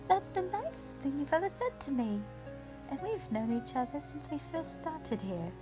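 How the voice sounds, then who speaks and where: A woman putting on a bubbly young female soldier in an audio drama, pitched up high. She is teasing and informal, the playful best friend, with soft music behind her. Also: very animated, unhurried. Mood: cheerful. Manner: playful, informal, whiny when tired. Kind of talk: dramatic audio roleplay acting.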